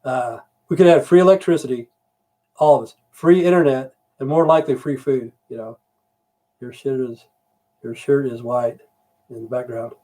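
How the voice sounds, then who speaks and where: A man's voice: medium pitch, steady tone, moderate pace, warm tone. A middle-aged man from Idaho, rambling and swearing through a casual livestream with frequent "you know" fillers. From Idaho, USA. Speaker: livestream host.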